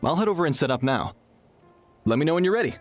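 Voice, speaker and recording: Man acting a higher-voiced young game worker. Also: expressive, fast, faint music behind, lightly acted. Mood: curious, resigned. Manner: conversational, explanatory. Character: young male arena worker, practical, easygoing inventor. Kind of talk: video game dialogue acting.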